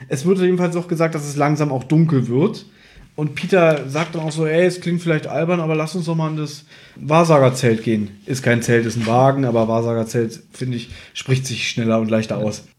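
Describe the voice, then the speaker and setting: Higher-voiced man in casual podcast chat. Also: a little fast, darkish tone. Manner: conversational, recaps from notes. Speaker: podcast co-host. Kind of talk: casual chat reviewing an audio drama.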